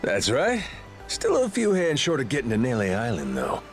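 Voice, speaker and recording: An actor playing a confident, easygoing and persuasive pirate captain in a video game, talking in a cocky, casual, unhurried way. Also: male voice, mid-range voice, wildly swooping pitch, faint music behind. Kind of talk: dramatic video game voice acting.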